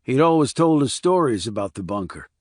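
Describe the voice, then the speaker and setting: A man voicing a weary, rough-edged small-town man in an audiobook, talking in clipped, colloquial, blunt phrases. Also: medium pitch, sing-song, brisk, no room sound, clean studio sound. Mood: sleepy, subdued.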